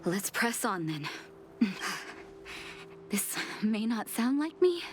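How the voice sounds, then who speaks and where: A woman voicing an earnest, determined doctor and leader in a video game, speaking in a measured, soft way. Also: a little high, big pitch swings, slight background noise, heavily acted. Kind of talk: voice acting in a story quest.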